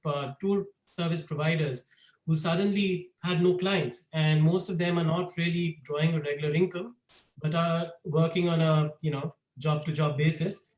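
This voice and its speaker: Man presenting in an echoey room. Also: on the high side. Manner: relaxed, many fillers. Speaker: startup co-founder presenting. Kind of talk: webinar presentation.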